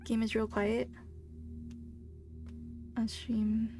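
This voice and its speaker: Soft-spoken young woman with vocal fry. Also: fairly high pitch, drawn-out words. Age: young adult. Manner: soft-spoken, chatty, calls her voice monotone. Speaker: streamer. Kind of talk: casual live-stream chat while gaming. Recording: game music playing behind. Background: game music.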